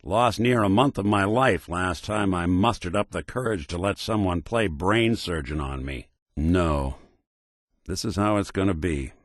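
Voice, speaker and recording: Low, wry American android gumshoe. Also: male voice, a little slow, no room sound. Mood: weary, reflective. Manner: wry, dry sarcasm, noir detective drawl. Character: weary synth detective, world-worn male android gumshoe. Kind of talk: video game character dialogue. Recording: very dry close studio recording.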